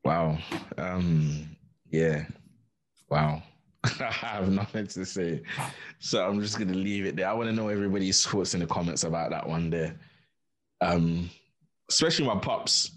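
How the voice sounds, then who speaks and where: A man, co-hosting a podcast in casual chat from a small home studio, laid-back and quick to laugh. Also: low-pitched. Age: 30s. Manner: laid-back, laughs a lot, repeats phrases. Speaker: podcast co-host. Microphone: studio mic on arm, headphones.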